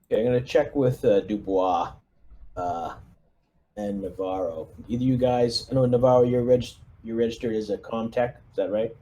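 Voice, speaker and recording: A man talking casually through a tabletop roleplaying game, giving squad orders in a halting way. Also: on the low side, dark tone, lo-fi sound.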